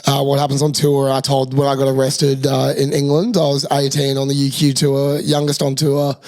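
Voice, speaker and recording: A man's voice: medium pitch, completely flat delivery, normal speed, darkish tone. A man of twenty-five with an Australian accent, telling rowdy, sweary stories as host of a casual podcast. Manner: rowdy, sweary, laughing storyteller.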